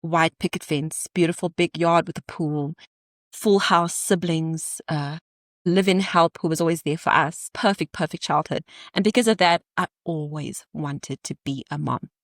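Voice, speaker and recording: A woman in her thirties with a somewhat low voice, recorded close and dry with no room sound. She talks as a podcast guest, candid and animated, and slips in playful asides as she goes. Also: moderate pace, no echo. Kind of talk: candid storytelling in conversation.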